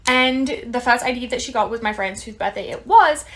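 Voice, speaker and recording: A woman, recounting a story to camera with quick, exasperated energy. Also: a little high.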